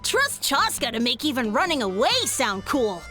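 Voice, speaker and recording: A woman's voice: on the high side, moderate pace. A woman voicing an eager, starstruck young village kid in a game scene with music. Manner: excited and eager.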